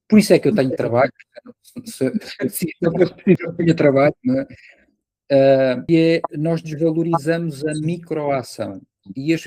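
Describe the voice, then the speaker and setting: Podcast guest, male, European Portuguese accent. Relaxed, mellow timbre, dry recording, conversational coaching style with everyday examples. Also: a little high, no room sound, poor audio.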